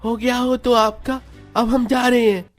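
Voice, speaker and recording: A man's voice: very high voice, level tone, a little slow, soft background music. A man voicing a defiant, cheeky schoolboy in a cartoon story, with an insolent manner. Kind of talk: Hindi cartoon story dialogue.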